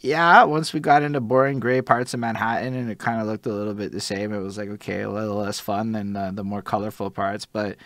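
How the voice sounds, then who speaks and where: A man's voice: fairly low pitch, steady tone, a little fast, few pauses, fairly bright tone, no echo. Slangy, rambling man on a dry recording. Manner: rambling, slangy storytelling with profanity. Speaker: podcast speaker. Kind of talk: casual chat.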